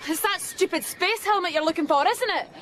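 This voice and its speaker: An actress in a radio drama playing a teenage child, pleading and cajoling with a quiet menace. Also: female voice, very high voice, a little fast, noisy background. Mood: exasperated.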